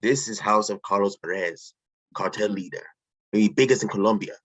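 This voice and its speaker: A man's voice: fairly low pitch, moderate pace, a bit bright. A man reading a frightened Colombian cop who speaks blunt, broken English. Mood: incredulous. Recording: dull lo-fi, husky audio.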